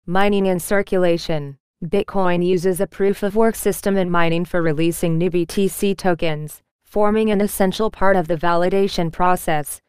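A woman narrating an article in a steady, even reading voice. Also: fairly low pitch, clean tone, dry recording, clean studio sound. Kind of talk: read-aloud article narration.

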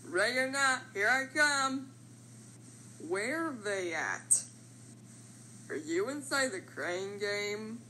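A woman voicing a confident, playful living triangle from a cartoon, talking through things in a deliberate way. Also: mid-range voice, animated, pushed and bright, a little hiss or hum, poor audio. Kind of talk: animated character voice lines.

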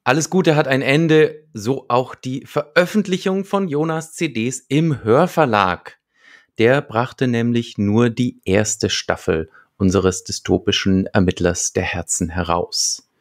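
A man co-hosting a podcast in a conversational, partly prepared style, speaking close to a desktop microphone at home. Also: medium pitch. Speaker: podcast co-host. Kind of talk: conversational podcast talk with prepared plot summaries. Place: small home room with shelves.

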